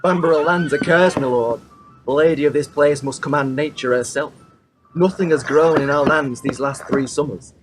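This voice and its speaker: Man playing an earnest, high-pitched royal retainer. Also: normal speed, over music, lightly acted, poor audio. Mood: grave. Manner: urgent, earnest archaic reporting. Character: anxious, deferential retainer to a king. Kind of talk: radio play acting.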